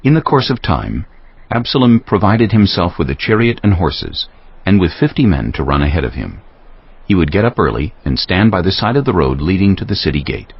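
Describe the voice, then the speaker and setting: A man narrating a dramatized scripture reading in a solemn, measured storytelling manner. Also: low voice, very animated, slightly rough. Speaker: narrator of a dramatized audio Bible. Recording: dull lo-fi audio with background music.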